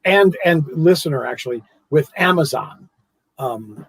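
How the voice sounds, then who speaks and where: Lively, husky-voiced male host. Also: on the high side, measured pace, no room sound. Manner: animated, stammering, laughs a lot. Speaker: show host. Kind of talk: casual interview chat.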